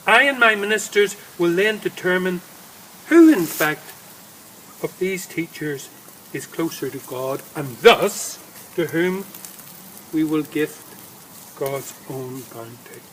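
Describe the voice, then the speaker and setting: A man acts out a stately prince from a storybook, delivering a formal proclamation. The voice is high, forceful and slow, recorded outdoors in woodland. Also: animated, rough recording. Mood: formal, declaratory. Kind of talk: dramatic story reading. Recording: faint outdoor background noise.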